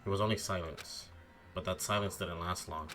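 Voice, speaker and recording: A man's voice: low voice, steady tone, normal speed, loud music behind the voice, close to an everyday voice. A man voicing an earnest, anxious teenage schoolboy who narrates his own mystery in a visual novel read-aloud, with dramatic expression. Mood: tense narration.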